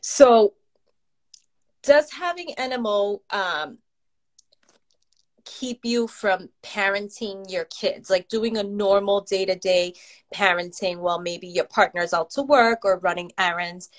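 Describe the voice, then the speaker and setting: A woman's voice: mid-range voice, takes it slowly. A woman interviewing in a casual, conversational way, with a supportive and agreeable manner. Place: remote live video chat.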